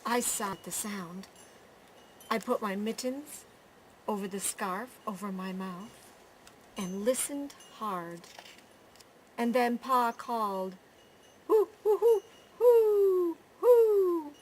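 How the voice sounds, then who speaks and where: A woman storyteller reading a children's picture book aloud, with measured phrasing, pauses and drawn-out words. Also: mid-range voice, rough recording. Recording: faint background noise.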